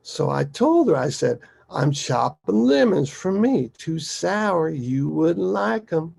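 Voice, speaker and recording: Man acting out animated, gravelly, foul-mouthed dad. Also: on the high side, slow pace, pitched above the performer's own voice, exaggerated voice. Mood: animated, fond. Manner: emphatic, colloquial, swearing storyteller. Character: rough, foul-mouthed, animated father reminiscing fondly about his daughter. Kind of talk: dramatic acted monologue within a story.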